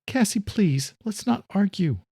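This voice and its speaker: A woman's voice: mid-range voice, animated, unhurried, warm tone, breathy edge, no room sound, studio quality, voice pushed up. A man narrating a story, voicing an anxious middle-aged mother who talks in a soothing, eager-to-please way.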